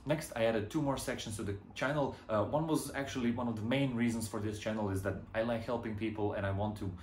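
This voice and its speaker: A man's voice: on the low side, fairly even intonation, fast, echoey room, very noisy. A young man from Croatia with a Croatian accent, talking casually to camera as a vlogger, with lots of ums and uhs. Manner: hesitant, many ums and uhs. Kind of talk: casual vlog talk to camera.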